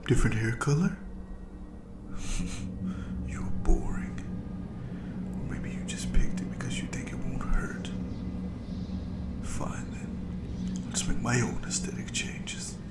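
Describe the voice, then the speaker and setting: A performer voicing a cruel, playful, ageless monster in a whispered audio roleplay, with a breathy, creaky voice and a slow, taunting, savoring delivery. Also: mid-range voice, animated, roomy sound, recorded from a distance. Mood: cruel amusement.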